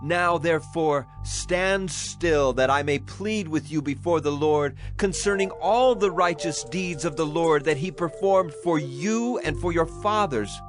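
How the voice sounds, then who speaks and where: A man acting as an aging prophet delivers a dramatized scripture oration. His voice sits fairly high for a man, solemn and righteous, stern but caring. Clear music plays behind his declamation. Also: measured pace, few pauses, close to an everyday voice. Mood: solemn, admonishing. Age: older.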